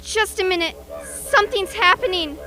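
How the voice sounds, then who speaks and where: A woman playing an eager, brisk radio field reporter in a live news report from a radio play. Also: extremely high pitch, bright, forceful tone, faint music behind. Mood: alarmed, horrified.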